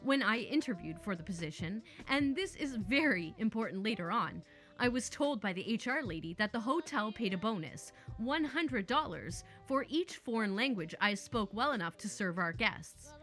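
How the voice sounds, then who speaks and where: A woman's voice: mid-range voice, expressive, normal speed, fairly bright tone, faint music behind. A woman reading online stories aloud with playful, chatty asides between them. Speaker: story narrator.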